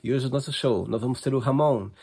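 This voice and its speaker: A man's voice: mid-range voice, fast talker, creaky, darkish tone, husky. A man hosting an interview show, welcoming the guest and asking short, upbeat questions over a video call. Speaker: host.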